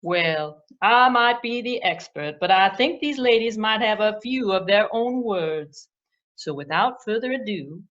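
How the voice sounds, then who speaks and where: A woman's voice: mid-range voice, fairly bright tone, dull audio. A woman voicing a self-important, theatrical male puppet Broadway critic in a grandiose manner for a children's puppet show. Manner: grandiose, dramatic, with repeated phrases.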